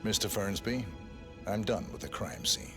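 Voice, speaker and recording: A man playing an impassive assassin posing as a detective in a video game, speaking tersely and deadpan. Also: on the low side, moderate pace, natural voice. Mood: cold, controlled. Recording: music behind the voice. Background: game music.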